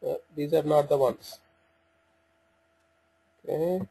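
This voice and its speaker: A man with a soft, mellow tone and a somewhat raspy edge walks through software as a demonstrator. His pitch sits a little above average. He explains each step informally and haltingly, thinking aloud as he operates the screen. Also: fairly even intonation, low-quality recording. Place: voice-over for a screen recording.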